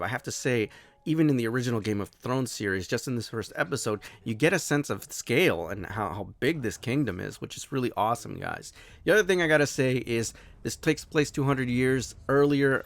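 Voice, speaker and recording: A man hosting his own review show, talking casually and excitedly while a little hoarse from losing his voice. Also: mid-range voice. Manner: enthusiastic, animated, swears casually.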